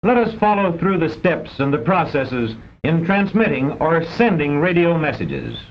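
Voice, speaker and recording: Man, American accent, formal educational film narration, very lively intonation, precise measured delivery, muffled old soundtrack. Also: a little high. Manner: measured, didactic, precise enunciation. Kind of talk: formal scripted narration of a vintage instructional film. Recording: dull, band-limited old film soundtrack.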